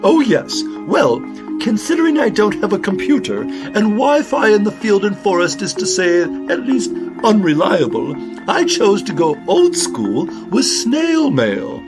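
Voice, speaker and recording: Guy doing fussy dramatic lady owl, high swoopy voice, genteel and worried, kids radio play. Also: sing-song, over music, exaggerated voice, low-quality recording. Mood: earnest, defensive. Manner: theatrical, fretful, drawn-out emphasis. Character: dramatic, fretful female mother owl, genteel and proud. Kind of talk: children's audio drama acting.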